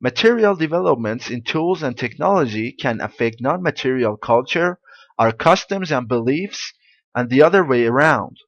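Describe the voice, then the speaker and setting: A man summarizing a lecture in a clear, deliberate way, as a model answer for exam practice. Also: medium pitch, lively intonation, fairly bright tone, no echo. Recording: dull, lo-fi dry recording.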